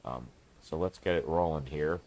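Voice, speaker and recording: A man's voice: extremely low pitch, normal speed, a little mellow. A man with a stuffed-up, congested voice giving rambling, casual commentary as he drives, swearing under his breath now and then. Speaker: truck-sim gameplay commentator.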